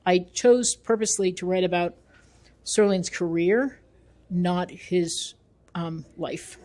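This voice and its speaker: A woman's voice: on the low side, takes it slowly, a little mellow. A middle-aged woman, an author and researcher, answering interview questions conversationally in an emphatic, opinionated, explanatory manner.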